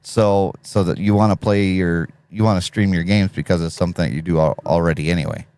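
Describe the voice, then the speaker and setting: A man with a deep radio voice hosting a casual live stream, reading chat messages aloud and chatting easily. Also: fairly even intonation, a little fast, darkish tone, smooth, no echo. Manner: laid-back, reads chat messages aloud, laughs often.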